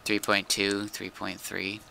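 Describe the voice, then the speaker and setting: A man's voice: lowish voice, completely flat delivery, takes it slowly, creaky, bright, forceful tone, a little hiss or hum, rough recording. A man chatting casually during a game in brief, laid-back replies. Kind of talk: casual chat during gameplay.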